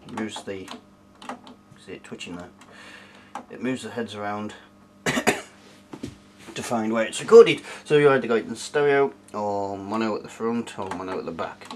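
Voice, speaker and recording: British man, casual tech tutorial, animated pitch, chatty and self-deprecating, faint background noise. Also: a little slow, long pauses. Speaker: host of a retro tech review channel. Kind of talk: casual hands-on tutorial and review. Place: small cluttered indoor room at a desk.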